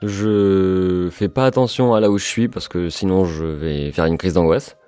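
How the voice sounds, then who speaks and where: A man's voice: deep voice, a little slow, mellow, smooth, no room sound, soft background music. A man playing in a tabletop game, easygoing and rambling as he says what his character does. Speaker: player. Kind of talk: casual tabletop roleplay.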